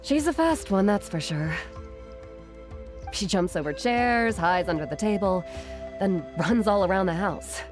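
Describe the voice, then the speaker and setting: A woman playing a gentle, caring, modest retired artisan in a video game, soft-spoken and measured. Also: medium pitch, lively intonation, over music, natural voice. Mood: apologetic, tender.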